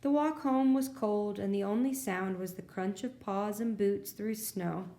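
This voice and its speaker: A woman reading a picture book aloud to preschoolers as a story time host, in an expressive, simple way. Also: mid-range voice, measured pace. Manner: expressive, simple delivery for young children. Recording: roomy, echoey sound.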